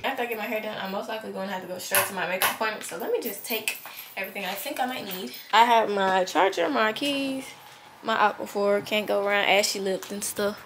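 A woman's voice: mid-range voice, fast talker, noisy background. Congested teenage girl chatting on her vlog. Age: teens. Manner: chatty and candid, rambling asides. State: congested, stuffy nose. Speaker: vlogger. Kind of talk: casual vlog chat.

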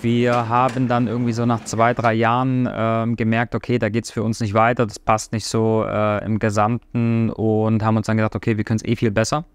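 A man in his thirties, a restaurant owner and chef, speaking in a relaxed, hesitant documentary interview with many fillers, recorded on a lapel mic in a quiet dining room. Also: fairly low pitch, brisk, hardly any pauses, clean tone. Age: 30s.

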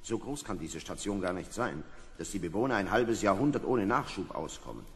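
A reasoning astronaut in an old radio drama, measured and in command. His fairly low voice moves expressively, ringing in a noisy hall. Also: big pitch swings. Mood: calm, reasoning. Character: calm, reasoning male astronaut. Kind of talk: 1970s German radio play acting. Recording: reverberant hall with background noise.

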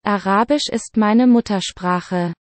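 A woman reading German words and example sentences slowly and clearly for a vocabulary lesson. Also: medium pitch, no room sound. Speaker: German word reader.

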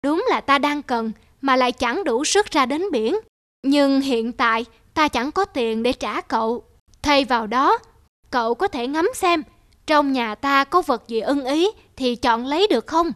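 A woman voicing a kindly, frail old sage who speaks slowly and deliberately in a cartoon folk tale. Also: high-pitched, a bit bright. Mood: kindly, solemn.

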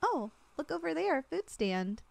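Soft-spoken young woman in ASMR roleplay. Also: high-pitched, big pitch swings, measured pace, gravelly. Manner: soft, affectionate, teasing. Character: loving long-distance girlfriend, young woman, teasing and tender.

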